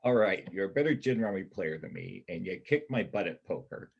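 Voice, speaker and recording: A man playing a wry, self-doubting ex-convict loner with a husky voice and blunt, colloquial speech in a reader's theater play. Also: deep voice, animated, a little fast, lo-fi sound, heavily acted. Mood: teasing. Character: Kip, wounded ex-convict drifter, bookish loner, self-doubting. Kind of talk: stage reader's theater dialogue.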